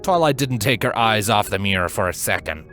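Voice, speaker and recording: A man narrates a story in a fairly low voice. His intonation moves widely and builds suspense. Quiet music plays underneath his dramatic reading. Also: lively intonation, fairly quick. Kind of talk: dramatic scripted fiction narration.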